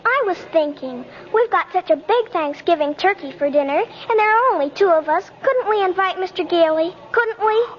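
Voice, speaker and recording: A very high-pitched little girl on a muffled old radio recording. She is precocious and skeptical, stating things bluntly and literally in the style of a 1950s radio play. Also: lively intonation, normal speed, few pauses. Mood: eager, coaxing. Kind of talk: 1950s radio drama acting.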